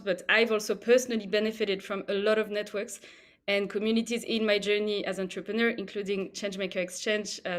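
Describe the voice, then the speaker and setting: A woman speaking on a panel about the entrepreneur network she runs, in an earnest, conversational way. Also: medium pitch. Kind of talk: online panel talk.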